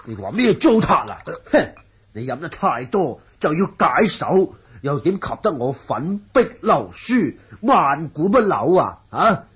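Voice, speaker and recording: A man acting a boastful, excitable eccentric lord in a Cantonese radio drama, blustering and theatrical. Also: fairly high pitch, unhurried, husky, full character voice. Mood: boastful, indignant.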